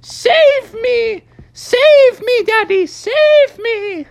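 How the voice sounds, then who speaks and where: A woman's voice: extremely high pitch, drawn-out words, creaky, pitched above the performer's own voice, heavily acted. A woman voicing a panicky, gluttonous young billy goat in a storybook, squealing and pleading repetitively. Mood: panicked pleading.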